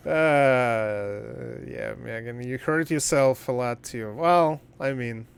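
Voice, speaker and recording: A man's voice: medium pitch, slow, gravelly, clean tone. A man livestreaming a game and chatting casually, in a blunt, joking and profane way. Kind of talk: casual livestream chat while gaming.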